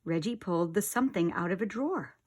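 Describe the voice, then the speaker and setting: A middle-aged woman, an expressive storyteller reading aloud for young children. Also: on the low side, quick pace.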